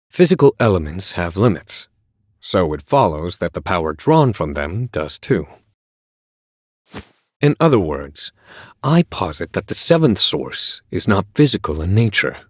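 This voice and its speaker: A man playing a stern, intense scholar of magic in a video game, blunt, measured and exacting. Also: deep voice, dry recording, clean studio sound, exaggerated voice. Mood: measured, intent. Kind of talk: dramatic game voice acting with brooding narration.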